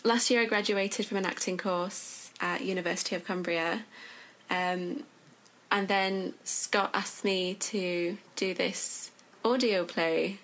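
A woman's voice: mid-range voice, fairly even intonation, takes it slowly, a bit bright, low-quality recording. A young woman, introducing herself casually as an interview guest. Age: young adult. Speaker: guest, amateur stage actress.

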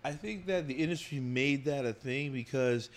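A man's voice: mid-range voice, moderate pace. A man as an interview guest, talking frankly and at length, often repeating and restarting words as he builds a point. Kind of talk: casual podcast conversation.

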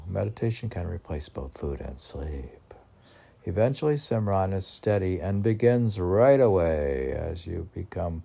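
A man's voice: sits low, gravelly, mellow. A man informally reading poems and commenting on them in a rambling, conversational way, posing questions and answering them himself.

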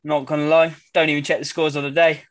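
A man's voice: fairly high pitch, level tone, fast, fairly bright tone, no room sound, clean studio sound. A man hosting a casual podcast, upbeat and jokey, sounding a bit nasal and congested from a cold. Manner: upbeat, jokey, laughs often. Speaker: podcast host. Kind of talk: casual chat.

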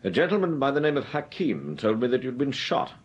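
An actor playing an urbane gentleman detective with a dry, wry, clipped manner in a 1940s-style radio drama. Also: medium pitch, sing-song, brisk, lo-fi sound, lightly acted.

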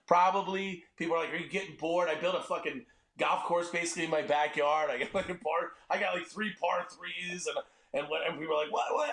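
An excitable man in his forties tells stories on a podcast, swearing freely and laughing at his own jokes. His voice sits fairly high for a man. The room around him is echoey. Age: 40s. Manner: animated, swearing, quick to joke. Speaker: podcast guest, entrepreneur and dad. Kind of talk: casual interview chat.

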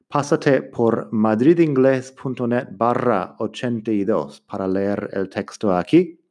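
A man hosting an English-learning podcast, giving an upbeat, promotional introduction. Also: a little slow. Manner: lively, promotional, swooping up in pitch. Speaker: podcast host, English teacher. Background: faint music.

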